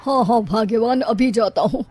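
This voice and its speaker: A woman's voice: a little high, normal speed, a little mellow, close to an everyday voice. A woman voicing a nervous, cowardly middle-aged father-in-law in a cartoon, flustered and dramatic. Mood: fawning.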